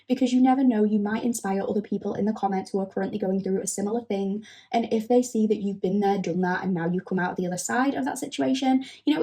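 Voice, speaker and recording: A woman recovering from a cold, gently and thoroughly introducing a tarot reading. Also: mid-range voice. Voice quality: husky. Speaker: tarot reader.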